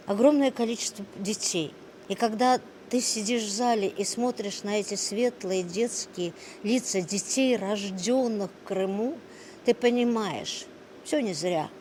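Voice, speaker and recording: A woman of medium pitch speaks earnestly in an interview. Her phrasing is measured and solemn. The recording carries clear background noise. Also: a little mellow. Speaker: performer. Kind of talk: on-site interview, earnest reflection. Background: noisy ambience.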